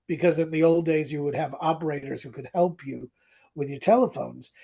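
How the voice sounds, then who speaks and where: Man, high voice, husky, animated storyteller, casual podcast chat. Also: brisk. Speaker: podcast co-host. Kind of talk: casual chat, retelling a radio drama.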